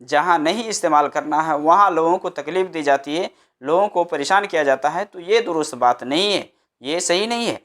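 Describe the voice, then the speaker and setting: A man's voice: on the high side, moderate pace, a bit bright. Male Islamic preacher explaining. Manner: explanatory, repeats phrases for emphasis. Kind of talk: religious lecture with scripture quotations.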